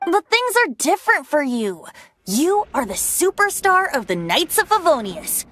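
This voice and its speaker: High-pitched, playful boy bard. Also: very animated, measured pace, fairly bright tone, a little hiss or hum, heavily acted. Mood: teasing, persuasive. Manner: theatrical, teasing, flowery speech. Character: playful, boastful young male bard, secretly a god. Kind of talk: video game voice acting.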